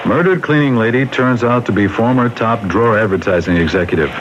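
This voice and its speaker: A man's voice: lowish voice, fairly quick, lightly acted. A man playing a shrewd, unruffled police superior in a 1970s radio drama, with a matter-of-fact manner. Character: pragmatic male police boss, shrewd and unruffled. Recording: dull, noisy 1970s radio broadcast.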